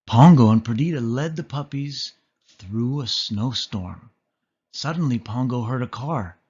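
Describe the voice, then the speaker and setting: A man reading a children's story aloud as an expressive narrator. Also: mid-range voice, unhurried, breathy edge, muffled recording. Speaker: storybook reader.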